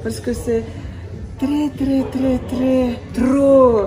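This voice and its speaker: Very slow halting Ukrainian-accented woman. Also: high voice, level tone, crowd noise. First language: Ukrainian or Russian. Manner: very halting, many filler sounds, simple phrasing. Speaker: interviewed mother.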